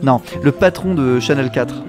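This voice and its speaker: An actor playing a quick, teasing vacationer. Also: male voice, mid-range voice, over music, close to an everyday voice.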